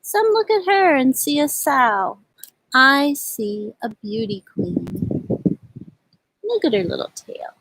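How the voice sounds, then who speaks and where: A woman hosts a children's storytime outdoors on a farm. Her voice sits on the high side and has a husky edge. She speaks gently and simply, as if to small children, in a read-aloud and chatty style. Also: sing-song, slow pace, pauses often, no echo, low-quality recording.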